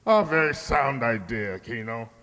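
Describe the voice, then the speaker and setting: A man's voice: medium pitch, expressive, a little slow, pushed and bright. A man playing a cold, scheming crime boss in a radio drama, pompous, menacing and imperious. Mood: smug, menacing. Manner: pompous, menacing, theatrical delivery. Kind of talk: old-time radio drama acting. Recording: long hollow reverb.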